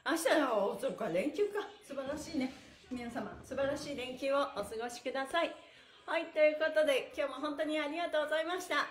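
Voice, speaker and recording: A woman's voice: sits high, brisk. A middle-aged woman leading a cheerful exercise livestream as an instructor, upbeat and exclamatory with sing-song encouragement. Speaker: stretching instructor and mime performer. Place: small bare indoor studio room, on a mat. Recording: echoey room.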